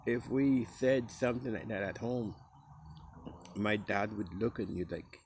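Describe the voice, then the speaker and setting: A man with a Costa Rican Caribbean English accent talks in a slow, unhurried, casual storytelling way. His voice sits fairly low, and he jumps higher when he mimics other people. Faint insects chirp behind him. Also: slight background noise. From Costa Rica, Caribbean coast. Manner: relaxed, acts out quoted speech in a higher voice.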